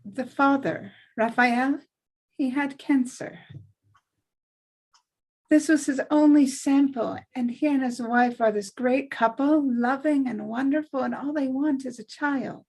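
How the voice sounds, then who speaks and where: A woman's voice: high voice, unhurried, a little mellow, low-quality recording. A woman playing an earnest, caring young daughter in a drama script read. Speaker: voice actor in a table read.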